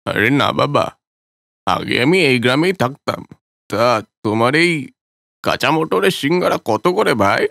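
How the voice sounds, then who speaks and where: A man voicing an old male village swindler in a cartoon, grumbling in a lower, gruffer voice than his own. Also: sing-song, moderate pace, pauses often, a bit bright, no room sound, clean studio sound. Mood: haggling. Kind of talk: Bengali cartoon voice acting.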